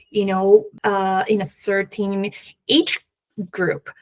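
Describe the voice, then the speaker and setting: A woman with a Peruvian accent, talking as a guest expert in a conversational interview, halting and often laughing lightly mid-sentence. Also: medium pitch. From Peru. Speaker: guest expert, venture capital professional.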